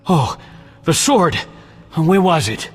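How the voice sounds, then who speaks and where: A crude, irritable dungeon jailer from a fantasy game. His husky, fairly high voice jumps around excitably and echoes with long reverb. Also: slow pace, faint music behind, heavily acted. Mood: eager. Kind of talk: video game voice acting. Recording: reverberant hall sound.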